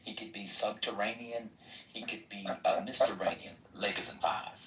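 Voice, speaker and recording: A man moderating a podcast debate, firm about staying on topic and cracking quick jokes. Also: lowish voice, fairly even intonation, a bit bright. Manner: firm, keeps people on topic, wisecracking. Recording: roomy, distant mic, faint noise.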